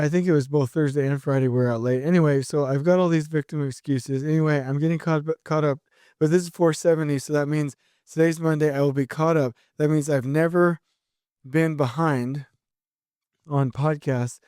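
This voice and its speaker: Solo podcast host, a middle-aged man: eager, rambling, a bit hoarse from a sore throat, mellow smooth voice, dry close sound. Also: on the high side, no room sound. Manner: energetic, rambling, many restarts.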